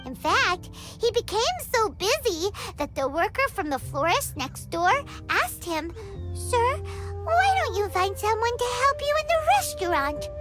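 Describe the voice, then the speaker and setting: Squeaky little fairy girl for a game, chatty and excitable, voice bouncing all over, slow drawn-out delivery, loud music behind. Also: exaggerated voice. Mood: storytelling. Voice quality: high squeaky childlike voice. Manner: animated, playful storytelling with big swings. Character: tiny chatty female fairy companion, excitable. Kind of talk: video game cutscene dialogue.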